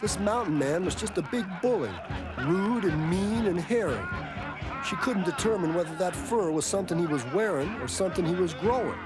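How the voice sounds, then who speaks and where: An actor playing a folksy, wry storyteller who narrates a comic tall tale. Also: male voice, sits high, animated, fairly quick, rough recording. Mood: wry, amused. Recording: VHS rip, loud music underneath.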